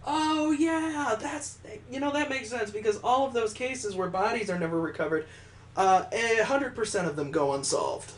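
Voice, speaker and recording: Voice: mid-range voice, normal speed. A game streamer commenting sarcastically and with exasperation on a visual novel while reading it aloud.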